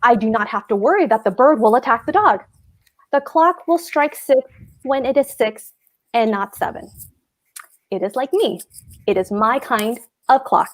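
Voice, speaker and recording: A woman's voice: a little high, animated, moderate pace. A woman reading the part of a pompous, anxious husband, a fussy government clerk, at a table read of a stage comedy. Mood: brooding, pompous. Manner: pompous, hectoring, fretful.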